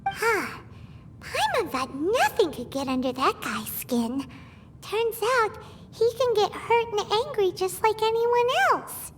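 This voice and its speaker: A woman voicing a tiny excitable girl companion creature who speaks of herself in the third person, in a video game scene. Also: takes it slowly, a little hiss or hum, exaggerated voice. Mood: chatty. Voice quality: squeaky high voice. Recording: loud game music under voice. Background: game background music.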